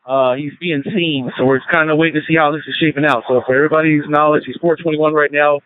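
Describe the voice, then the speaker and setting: A man's voice: a little high, fairly even intonation, quick pace, clean tone, dry recording, narrow, tinny audio. An American male police officer giving plain-spoken instructions to units over a police radio. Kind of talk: operational radio dispatch talk. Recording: band-limited police radio transmission.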